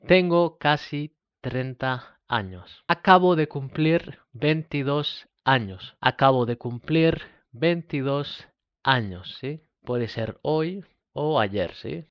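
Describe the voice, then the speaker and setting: A man from Spain teaching a beginner Spanish lesson, slowly repeating each example phrase. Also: mid-range voice, no echo, highs cut off.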